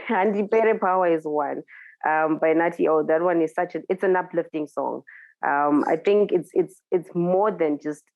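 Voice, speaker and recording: A woman's voice: on the low side, moderate pace, darkish tone, dry recording, lo-fi sound. A woman DJ with a Zimbabwean accent, talking casually in an interview, thoughtful and searching for words. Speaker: DJ guest.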